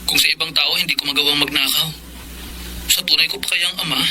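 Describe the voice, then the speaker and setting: A man's voice: fairly high pitch, steady tone, fast talker, noisy background, natural voice. A man playing an earnest, obedient, good-hearted young man in a Filipino radio drama, speaking meekly.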